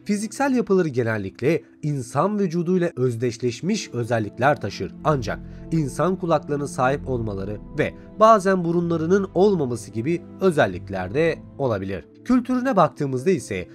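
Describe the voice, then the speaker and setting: A man's voice: fairly high pitch, sing-song, normal speed, hardly any pauses. A man reading scripted recap and review narration as a video narrator. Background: background music.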